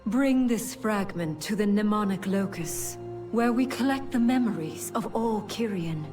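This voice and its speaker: Slow, solemn celestial paragon played by a woman. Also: medium pitch, expressive, close to an everyday voice. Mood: solemn, measured. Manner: measured, formal, grave. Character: solemn, authoritative winged female celestial paragon. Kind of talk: fantasy video game voice acting. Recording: game music behind the voice.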